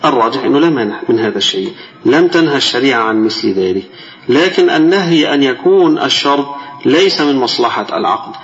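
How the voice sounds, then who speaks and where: A man's voice: mid-range voice, a bit bright, lo-fi sound. A man with an Egyptian accent teaching Islamic jurisprudence; his voice is tired and strained, and he reads classical text and then explains it in colloquial dialect. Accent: Egyptian Arabic. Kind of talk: religious lesson, reading a text then explaining.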